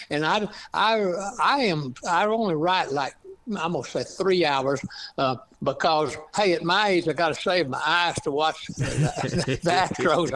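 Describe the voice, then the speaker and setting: A man in his eighties with an East Texas accent, a guest author talking slowly with many fillers and restarts in a casual interview. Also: fairly high pitch, fairly bright tone, slight background noise, low-quality recording. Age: 80s.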